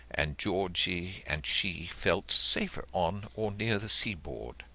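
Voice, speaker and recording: A man with a very low voice narrates literary fiction dramatically. He takes his time, shaping each phrase expressively with wide swings of intonation. The delivery suits a classic audiobook. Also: vocal fry. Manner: measured, expressive storytelling.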